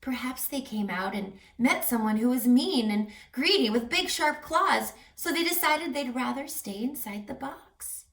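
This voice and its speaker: A woman voicing kind forest animals from a children's storybook in a gentle, coaxing, expressive way. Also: sits high, measured pace, hardly any pauses, full character voice. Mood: gentle, concerned. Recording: echoey room, distant mic, music.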